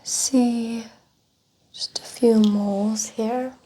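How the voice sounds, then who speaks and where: A woman speaking softly in an ASMR medical exam roleplay, giving gentle clinical instructions as a doctor. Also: a little high, steady tone, very slow, rough recording. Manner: soft, whispery, gently clinical instructions and remarks. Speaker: ASMR performer playing a doctor.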